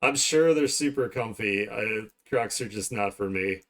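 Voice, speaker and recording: A man with a fairly low voice hosts a casual art stream. He rambles conversationally to his viewers, talking as he works. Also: a little slow, a bit bright. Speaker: art streamer hosting a livestream. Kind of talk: casual livestream chat while drawing.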